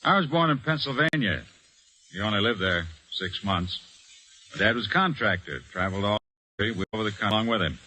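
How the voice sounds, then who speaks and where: Forceful, earnest young Army corporal. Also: male voice, lowish voice, expressive, fast talker. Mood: plain, reflective. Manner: plain-spoken, earnest, folksy. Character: earnest, determined young Army corporal telling his story. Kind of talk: old-time radio drama acting. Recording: noisy old radio broadcast recording.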